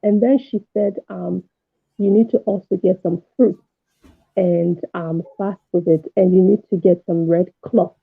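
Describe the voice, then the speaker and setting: A woman's voice: fairly low pitch, steady tone, a little slow, creaky, husky, dry recording. A woman calling in to a talk show, telling a personal story in a casual, conversational way and re-enacting dialogue. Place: remote call into an online show. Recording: muffled, dry call audio.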